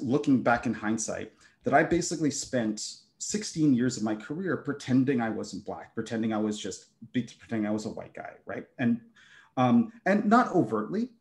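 A middle-aged man whose first language is German, a game designer speaking at a panel over a video call from a room at home, hesitant, full of fillers and restarts. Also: medium pitch. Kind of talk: conversational panel talk.

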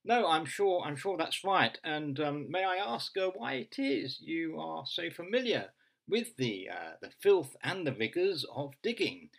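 A man's voice: fairly high pitch, big pitch swings, unhurried, gravelly, fairly bright tone, full character voice. A man voicing a polite radio phone-in host who speaks hesitantly, stumbling over his words. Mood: bemused, courteous.

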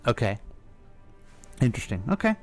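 An American man chats casually as a radio co-host. His intonation is lively around a medium pitch, and his manner is informal and prompting. Faint music plays behind him. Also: normal speed.

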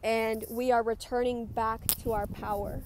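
A woman with a fairly high voice guides a group through a meditation. Her delivery is gentle and instructive. Ambient noise can be heard behind her. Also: flat delivery, measured pace. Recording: clear background noise, ambient sound.

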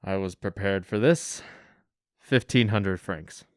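An actor playing a stern, secretive fugitive in a radio drama, speaking in a firm, terse, commanding way. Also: male voice, low-pitched, takes it slowly, no room sound, studio quality. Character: stern, secretive, resolute male fugitive protecting a child.

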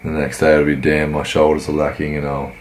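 A man, croaky from a cold, giving laid-back, rambling voice-over commentary on his workout. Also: very deep voice, completely flat delivery, a little fast, slight background noise. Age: 20s. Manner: laid-back, rambling, many fillers and restarts. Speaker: fitness vlogger.

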